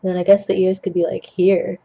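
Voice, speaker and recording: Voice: mid-range voice, completely flat delivery, a little fast, gravelly, dark tone, breathy edge, highs cut off. A young adult host rambling jokily with puns while painting at a desk, coughing now and then, on a webcam mic at home. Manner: chatty, self-deprecating, jokey with puns. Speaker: digital art tutorial host.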